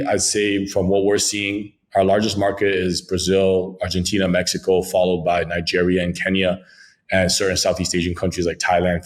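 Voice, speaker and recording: French-speaking founder from Congo, deep low voice, fluent and jargon-heavy, peppers talk with "right". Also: male voice. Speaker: crypto company founder, guest. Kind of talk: podcast interview, business pitch.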